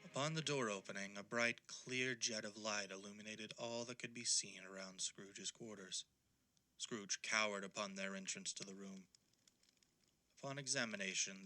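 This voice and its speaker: A man playing the storyteller of an audio drama, narrating in a measured, formal, literary way. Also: lowish voice, sharp tone. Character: storyteller narrating a Victorian Christmas ghost tale.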